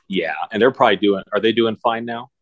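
A woman co-hosting a podcast in casual chat, supportive and quick to agree and joke along. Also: rumbling low, fairly even intonation, dry recording, dull audio. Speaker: podcast co-host.

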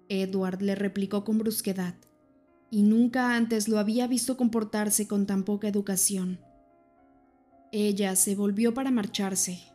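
A woman reading a first-person story as an audiobook narrator, in an even, measured way. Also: medium pitch.

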